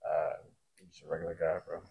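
A man's voice: a bass voice, little pitch movement, fast talker, vocal fry, highs cut off, slight background noise. A man in his twenties chatting casually as a blind date contestant, bantering with slang and frequent laughs. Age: 20s. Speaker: dating show contestant. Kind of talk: casual chat.

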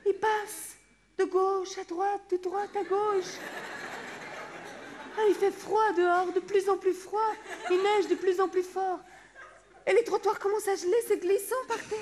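A woman's voice: very high-pitched, fairly even intonation, a little slow, long pauses. A middle-aged woman performing a wistful theatrical monologue on stage, recorded from a distant camera in the hall. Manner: dreamy, wistful, drifting into hushed murmurs. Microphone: far camera in the audience.

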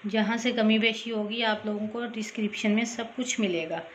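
A woman narrating a cooking tutorial as voice-over, talking casually and directly to her viewers, often pausing with a quick "okay". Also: mid-range voice, fairly even intonation, slight background noise. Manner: chatty, repeats "theek hai", addresses viewers directly. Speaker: cooking channel host.

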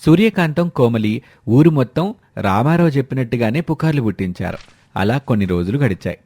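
A man's voice: mid-range voice, lively intonation, fairly quick, mellow, no echo. A man narrating a scripted moral story as its storyteller.

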